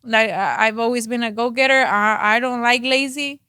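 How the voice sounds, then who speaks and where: A woman's voice: fairly high pitch, normal speed, pushed and bright, smooth, dry recording, studio quality. A woman from Mexico with a thick Mexican Spanish accent, talking candidly as a real estate agent guest, with fillers and restarts. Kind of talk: casual interview talk.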